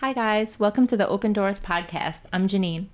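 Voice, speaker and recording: A woman in her forties hosting a podcast, speaking in a soft, reflective way with many pauses. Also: mid-range voice, music in the background. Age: 40s. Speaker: podcast host. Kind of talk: casual faith-based chat.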